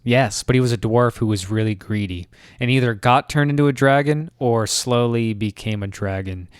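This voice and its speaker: A young man chats casually on a podcast, thinking aloud and drifting into asides. His voice is smooth and resonant at a middling pitch. The sound is close and dry, with no room around it. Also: normal speed, no room sound. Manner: relaxed, musing, trails off into asides. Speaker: podcast co-host. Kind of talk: casual music chat.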